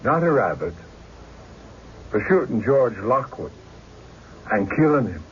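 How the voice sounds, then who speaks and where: An actor playing a calm, dutiful frontier deputy in an old-time radio drama, terse and official. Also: male voice, medium pitch, a little slow, a loud crowd. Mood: grave, official. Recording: dull, lightly noisy radio recording.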